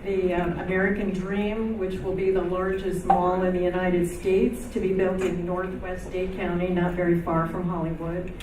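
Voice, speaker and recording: A soft-spoken woman moderating a civic meeting, reading audience questions aloud. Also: lowish voice, little pitch movement, normal speed, hardly any pauses. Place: meeting hall. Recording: echoey hall, distant mic, noisy.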